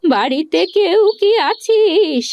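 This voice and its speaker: Elderly beggar woman, very high voice, slow drawn-out words, frail, mysterious, cartoon fairy tale. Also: expressive, dull audio, exaggerated voice. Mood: pleading. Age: older. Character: frail slow-talking old beggar woman with magic seeds.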